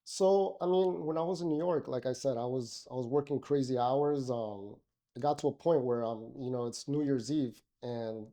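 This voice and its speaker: A man's voice: medium pitch, moderate pace, mellow. A middle-aged man from Miami, a podcast guest, talking casually with many fillers and restarts. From Miami, Florida. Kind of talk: casual interview conversation.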